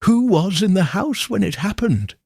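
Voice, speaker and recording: A man voicing a shrewd, gentle elderly village lady sleuth in an audiobook, soft-spoken and composed. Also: high voice, sing-song, moderate pace, creaky, slightly rough, no echo, studio quality, pitched above the performer's own voice, exaggerated voice. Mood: gently probing.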